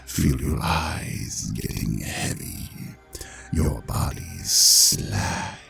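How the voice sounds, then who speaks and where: Slow, deep, seductive serpent god. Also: male voice, lively intonation, a bit bright, soft background music, exaggerated voice. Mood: amused, coaxing. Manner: languid, coaxing, condescending, often dropping into breathy whispers. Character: ancient male serpent god, seductive, menacing, possessive hypnotist. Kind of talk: slow hypnotic audio drama monologue.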